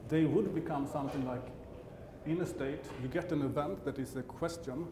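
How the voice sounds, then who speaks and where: Swedish-accented man, middle-aged, halting and self-correcting, roomy hall, audible hum behind. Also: fairly high pitch. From Sweden. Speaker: conference speaker. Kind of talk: technical conference talk. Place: large conference hall.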